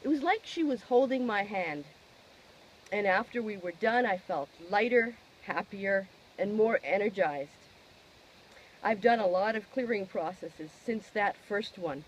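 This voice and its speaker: A woman giving a personal testimonial in an expressive, emphatic way, posing questions and answering them herself. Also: mid-range voice, unhurried, a little hiss or hum, low-quality recording.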